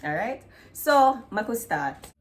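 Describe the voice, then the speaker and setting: A woman from Jamaica with a Jamaican accent, hosting a casual vlog in a lively, chatty way with Patois turns. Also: fairly high pitch, slow pace. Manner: lively, laughing, Patois-tinged. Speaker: vlog host. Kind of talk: casual chat with partner.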